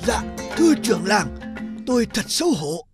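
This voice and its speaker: A man's voice: sits high, big pitch swings, moderate pace, vocal fry, over music, heavily acted. A man voicing a sly young cartoon thief who sounds deferential and falsely humble. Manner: deferential, mock-polite. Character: sly young male cow thief feigning humility. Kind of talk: comedy cartoon dub.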